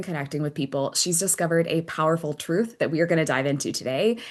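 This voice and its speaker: A woman hosting a podcast, moving between a prepared introduction and conversational interviewing. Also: fairly low pitch. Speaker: podcast host.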